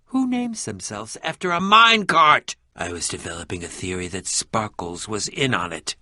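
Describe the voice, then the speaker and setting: A man's voice: fairly low pitch, big pitch swings, moderate pace, bright, forceful tone, dry recording, clean studio sound, heavily acted. A man voicing a pompous, irritable, middle-aged eccentric adventurer and potion maker in a video game, with a dramatic, sardonic, fussy delivery. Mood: wary, uneasy. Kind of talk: animated video game voice acting.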